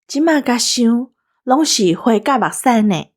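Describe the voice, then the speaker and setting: A woman's voice: a little high, lively intonation, measured pace. A woman with a Taiwanese accent co-hosting a show, bantering with a partner and addressing listeners. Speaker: co-host.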